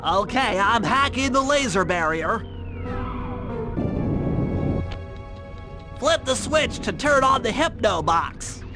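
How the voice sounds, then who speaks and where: A man's voice: extremely high pitch, animated, unhurried. A man voicing a nerdy young turtle tech genius in a video game, with a thin nasal voice and a precise, wordy, anxious manner. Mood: focused briefing. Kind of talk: video game cartoon voice acting. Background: music.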